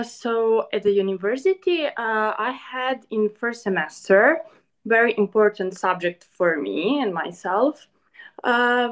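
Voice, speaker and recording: Slow, hesitant woman. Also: fairly high pitch. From Serbia. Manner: hesitant, many "uh" pauses. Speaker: former master's student and business owner. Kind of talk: panel interview answer.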